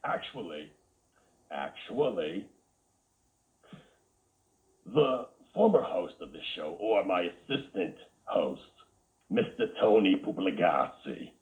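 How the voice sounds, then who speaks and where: A man puts on a flamboyant talk-show host with a Boston accent, who gushes and drops "darling" into every line. His deep voice swoops wildly up and down. It comes through a tinny, phone-like line. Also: expressive, slow, long pauses, darkish tone. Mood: gushing. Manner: gushing, calls everyone darling, camp asides. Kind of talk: comedic character interview.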